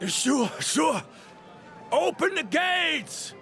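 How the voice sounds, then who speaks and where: A man playing an excitable, awestruck gate guard in a fantasy video game. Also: high voice, a little slow, faint music behind. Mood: astonished. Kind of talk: video game dialogue acting.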